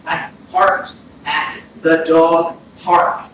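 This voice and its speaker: An actor playing an evasive, shaken young man under police questioning in a staged reading, halting and defensive. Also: fairly high pitch, level tone, a bit bright. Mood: hurt, evasive. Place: indoor arts centre stage, staged reading. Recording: echoey theatre from far off, noisy.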